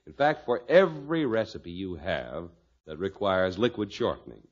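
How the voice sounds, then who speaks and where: A male radio announcer giving an emphatic, old-time advertising pitch. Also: very animated, moderate pace. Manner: emphatic, selling. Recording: muffled vintage radio recording.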